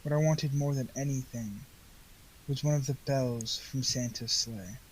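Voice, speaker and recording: A man's voice: medium pitch, unhurried, poor audio. A teenage boy reading scripted storybook narration for a school radio play. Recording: faint background hiss.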